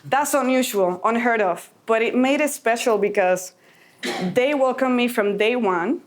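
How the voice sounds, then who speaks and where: A woman's voice: on the high side, a little slow. A woman from Puerto Rico giving an earnest, encouraging talk to a conference audience. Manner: earnest, encouraging, a little emotional. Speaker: conference speaker.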